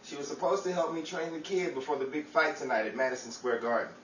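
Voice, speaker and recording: A man's voice: on the high side, fast, close to an everyday voice. A man playing a brash, bantering New York fight trainer in a 1930s radio comedy, with exaggerated comic patter. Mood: brisk banter. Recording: echoey room, distant mic, background noise.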